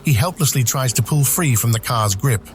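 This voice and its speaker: Male narrator, mid-pitched, reading scene directions for an audio drama with music behind. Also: expressive, moderate pace. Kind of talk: scripted screenplay narration.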